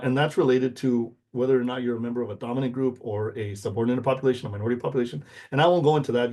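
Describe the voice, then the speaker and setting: Middle-aged man lecturing in a mellow, husky voice. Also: medium pitch. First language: Spanish. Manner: explanatory, checks in with "okay?" and "right?". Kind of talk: informal recorded lecture.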